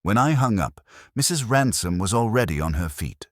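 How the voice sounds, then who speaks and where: A man's voice: fairly low pitch, big pitch swings, moderate pace, no room sound, clean studio sound. A man reading a scripted first-person detective story as an audiobook narrator.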